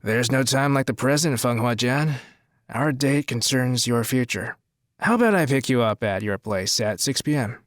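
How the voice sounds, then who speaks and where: A man's voice: medium pitch, expressive, normal speed, dry recording, clean studio sound, natural voice. A man playing a domineering, smug, flirtatious crime boss in an audio drama, commanding and insistent.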